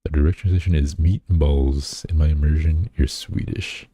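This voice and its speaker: Very deep, husky man chatting on stream. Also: steady tone, normal speed, dark tone, no echo. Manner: rambling, self-deprecating, many fillers and restarts. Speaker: VTuber streamer. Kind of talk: casual live-stream chat, reading chat aloud.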